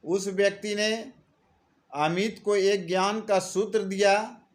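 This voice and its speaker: A man narrating a scripted moral story as a storyteller, deliberately, phrase by phrase with frequent pauses. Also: high voice, fairly even intonation.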